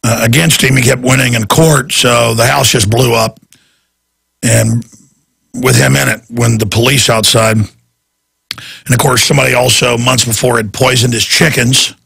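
A man hosting a live radio talk show from a studio, ranting emphatically with a hoarse, strained, raspy voice into a desk microphone. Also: medium pitch, fairly even intonation, a little slow, a bit bright, no echo. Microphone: large studio microphone on desk.

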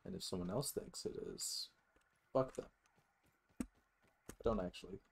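A man's voice: low-pitched, moderate pace, vocal fry, husky. A man giving casual let's play commentary as a gaming host, musing aloud in a rambling way and swearing freely.

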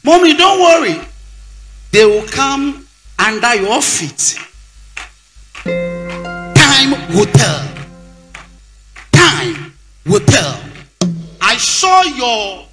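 A Ghanaian archbishop addresses his congregation in a high, bright voice. He is emphatic and counts out figures aloud. Soft music plays under him in a large church hall. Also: very animated, extremely slow, long pauses. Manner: emphatic, counts figures aloud, switches between English and Twi. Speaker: archbishop speaking at a church event.

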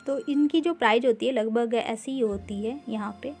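Woman vlogging down store aisles on her phone, kinda high and mellow, faint music under it. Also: breathy edge. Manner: unhurried, mixes English words into Hindi, frequent "uh" fillers. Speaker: vlogger touring a grocery store. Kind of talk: casual walkthrough narration. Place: indoor grocery store.

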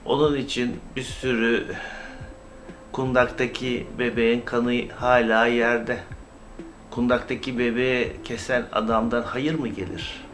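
A man giving informal, opinionated YouTube commentary in a halting, indignant, emphatic manner with rhetorical questions. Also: medium pitch, a bit bright. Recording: clear background noise, amateur recording.

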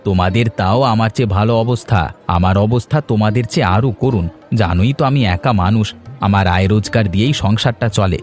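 A man's voice: fairly low pitch, brisk, darkish tone, faint music behind, lightly acted. A man voicing a destitute, despairing village neighbour in a cartoon, with a lamenting manner. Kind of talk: cartoon drama dialogue.

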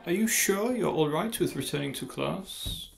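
Casting: man as guarded science professor, formal and measured, slightly high animated voice, podcast drama. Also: gravelly, a crowd in the background. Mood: instructive. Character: male college science teacher, defensive, formal, secretive adulterer. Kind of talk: audio drama acting. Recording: music behind the voice.

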